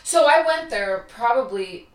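A woman's voice: a little high, expressive, unhurried. A woman co-hosting a podcast, chatting casually in an informal, blunt way. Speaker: podcast co-host. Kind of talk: casual chat, reacting and adding recollections. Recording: roomy echo, distant from mic.

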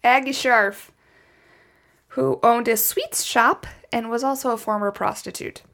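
A woman with a slightly high voice and a noticeable vocal fry. She tells true crime casually from notes as a podcast host. Her delivery is chatty, with wry asides dropped in. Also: fairly even intonation, measured pace, a bit bright.

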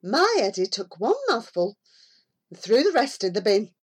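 A woman's voice: mid-range voice, sing-song, moderate pace, breathy edge. A woman reading a story aloud, voicing a grumbling, gossipy village housewife.

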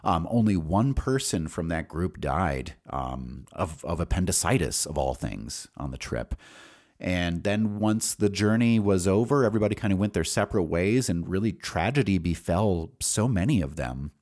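Low-voiced American man telling personal stories. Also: lively intonation, moderate pace, a little mellow. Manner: rambling, candid, profane, thinking aloud. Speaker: podcast host. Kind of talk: casual autobiographical storytelling.

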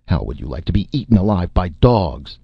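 Man putting on a domineering, menacing film director, gravelly deep. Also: sing-song, a little fast, no echo, highs cut off, heavily acted. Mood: bullying. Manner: domineering, threatening, stilted foreign phrasing. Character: bullying, menacing male film director, heavy-set and bellowing.

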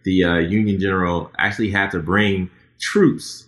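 Man, 30s, very low voice, bright tone, earnest, reflective, podcast guest. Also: steady tone, moderate pace. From Texas, USA. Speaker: podcast host and HR entrepreneur. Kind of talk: conversational interview.

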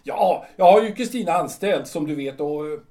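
A man's voice: on the high side, expressive, mellow, natural voice. A man acting a radio drama as a lonely, nostalgic retired official in his sixties, musing hesitantly in broken, repeated phrases. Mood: nostalgic, resigned. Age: 60s. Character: reclusive, nostalgic retired civil servant of 62, lonely but resigned. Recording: roomy echo, distant from the mic.

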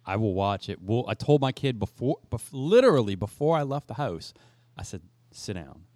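A man's voice: on the low side, very animated, moderate pace, darkish tone, dry recording. A middle-aged man co-hosting a podcast, casual and joking, acting out conversations as he retells them. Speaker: podcast co-host.

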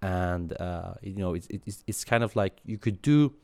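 A man in his thirties from Sweden talks in a deep, low voice. He answers in a thoughtful, halting way, full of fillers and restarts. The sound is close and dry. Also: mellow, no room sound. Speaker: guest. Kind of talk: interview answers.

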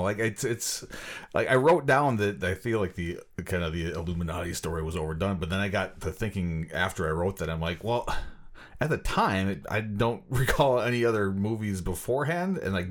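A man co-hosting a podcast, chatting casually about films in a loose, rambling way full of "like". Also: fairly low pitch. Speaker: podcast co-host. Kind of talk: casual film discussion.

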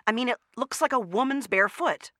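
Police officer, radio play, dutiful, forceful bright tone, no room sound. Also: male voice, very high voice, a little fast, dry recording, studio quality. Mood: dutiful, matter-of-fact.

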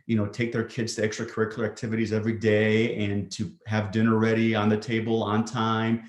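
Man, psychiatrist, low voice, measured, lots of ums, echoey room. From Greece. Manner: measured, many fillers. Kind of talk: explanatory interview answers.

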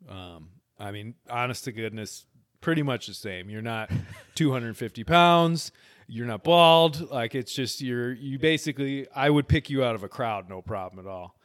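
A man's voice: medium pitch, normal speed, dry recording. Earnest rambling man, dry close sound. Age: 40s. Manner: earnest and rambling, with frequent restarts and easy laughs. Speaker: podcast host. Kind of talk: casual conversational interview.

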